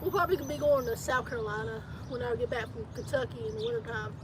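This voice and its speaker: A woman's voice: a little high, fast. A woman with a Southern US accent co-hosting a casual outdoor live stream, teasing and laughing often. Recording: noisy outdoor stream audio.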